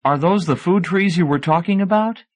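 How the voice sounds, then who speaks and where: A man's voice: high-pitched, normal speed, voice pushed up, natural voice. A man voicing a chiding, flirtatious, airy woman in audiobook dialogue. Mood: curious.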